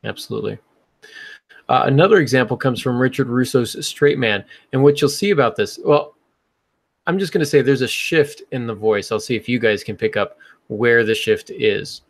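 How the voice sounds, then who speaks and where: A man with a mid-pitched voice talks on a casual podcast. He explains things the way a teacher would, working through his thoughts aloud as he speaks. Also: lively intonation, normal speed, dry recording. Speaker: podcast host and writing teacher.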